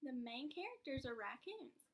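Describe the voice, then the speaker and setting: A woman's voice: high-pitched, sing-song, moderate pace. A woman teacher giving a read-aloud lesson in an encouraging, instructive manner with guiding questions.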